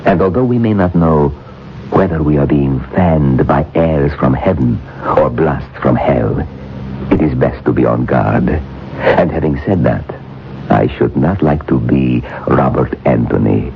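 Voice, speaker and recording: An actor playing a grave male occultist in a 1970s radio drama, speaking in measured, ominous warnings. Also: rumbling low, vocal fry, slight background noise, heavily acted. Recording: dull 1970s radio recording.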